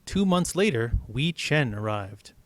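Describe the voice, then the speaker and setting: A man's voice: mid-range voice, sing-song, unhurried, no room sound. Man reading a story aloud. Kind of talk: read-aloud storytelling with casual asides.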